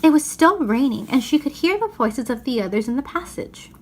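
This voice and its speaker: High-voiced woman reading a children's story. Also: lively intonation, brisk, a little mellow, breathy edge. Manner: occasional stumbles and repeated phrases while reading. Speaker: storybook reader. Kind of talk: read-aloud children's story narration.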